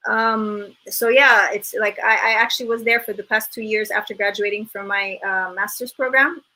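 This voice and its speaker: A woman's voice: mid-range voice, steady tone, moderate pace, pushed and bright, low-quality recording. A woman in her thirties from Egypt, a filmmaker guest talking casually and reflectively over a remote call, often restarting and filling with "like". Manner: reflective, many restarts and "like" fillers. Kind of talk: casual interview talk.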